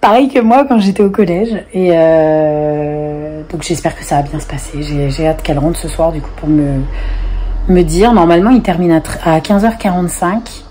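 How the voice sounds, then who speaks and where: A woman's voice: low-pitched, moderate pace, mellow, slight background noise. A woman in her forties, chatting casually to camera from her sofa, stuffy-nosed from a cold, rambling with many fillers. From France. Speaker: family vlogger, single mother. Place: living room on a sofa, window open to a busy street. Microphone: camera at close range.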